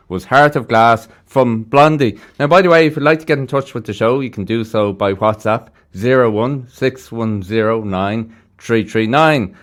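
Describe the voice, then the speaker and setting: A man presenting a music radio show in a casual, chatty, rambling way from a studio. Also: fairly low pitch. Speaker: radio host. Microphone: studio broadcast microphone.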